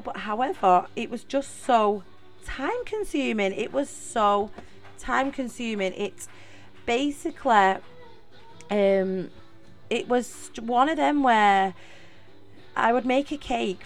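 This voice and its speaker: A young woman with a Mancunian accent hosting her own podcast, talking in a chatty, rambling way with many fillers and restarts. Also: on the high side. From Manchester, England. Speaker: podcast host. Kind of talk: casual solo podcast monologue.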